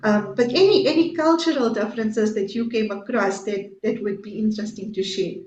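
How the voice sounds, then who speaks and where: A woman's voice: on the high side, fairly quick, a little mellow, a little hiss or hum. A woman hosting a podcast interview, asking long, rambling questions full of fillers and restarts. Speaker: podcast host. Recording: roomy, dull lo-fi sound.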